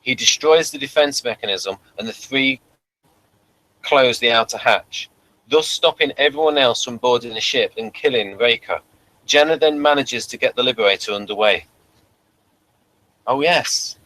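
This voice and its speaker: A man hosting a casual review show, talking in a halting, rambling way and reading summaries aloud. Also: mid-range voice, sharp tone, low-quality recording. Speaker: podcast host.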